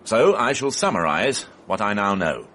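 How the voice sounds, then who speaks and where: Police inspector, low pitch, varied intonation, formal, exact wording, probing, persistent, radio mystery drama. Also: male voice, very animated, normal speed, a bit bright. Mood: stern, formal. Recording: faintly noisy archive radio broadcast.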